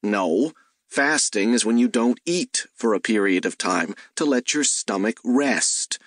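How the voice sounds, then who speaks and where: A man's voice: on the low side, lively intonation, unhurried, no room sound, clean studio sound. A man voicing an exasperated, sarcastic male detective in an audiobook, with indignant delivery.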